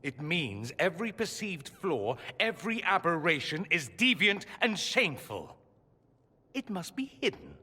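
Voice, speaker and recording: A proud young nobleman mage from a video game, theatrical and sarcastic. His bright, forceful voice swings widely in pitch and rings in a long hall reverb, articulate and defiant. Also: big pitch swings, unhurried, slightly rough, heavily acted. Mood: hurt, defiant. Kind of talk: dramatic video game voice acting.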